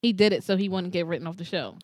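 A woman's voice: fairly low pitch, very fast, a crowd in the background. A woman in her late twenties co-hosting a podcast, talking casually and giving her opinions. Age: 20s. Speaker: podcast co-host. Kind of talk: casual group chat.

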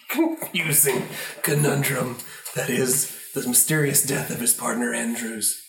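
A man's voice: mid-range voice, fairly bright tone. A man narrating an improvised radio play in a dramatic storytelling style. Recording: echoey, distant, music underneath.

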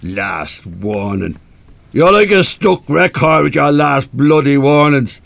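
Gravelly, bullying Irish drunkard husband. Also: male voice, on the high side, lively intonation, slow pace, a bit bright, dry recording, heavily acted. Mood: threatening, furious. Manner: coarse, belligerent, cursing. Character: abusive hard-drinking husband, bullying and demanding. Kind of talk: live radio play acting.

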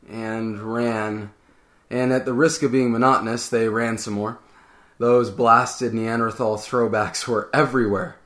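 A man's voice: medium pitch, a bit bright. A man narrator giving a scripted dramatic reading of fan fiction.

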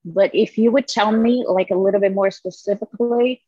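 A woman guest answering interview questions in a playful, deadpan way with many fillers. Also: mid-range voice, moderate pace, slightly rough, dry recording, highs cut off.

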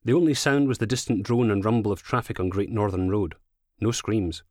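A Scottish man narrates a crime novel in a low voice. The recording is dry and close, with no room sound around the scripted reading. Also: a little fast, darkish tone, no room sound. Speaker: author reading own audiobook.

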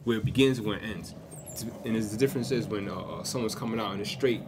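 Fast-talking blunt man in casual chat. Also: on the low side, background noise. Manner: fast, blunt, swears freely, storytelling. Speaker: podcast co-host.

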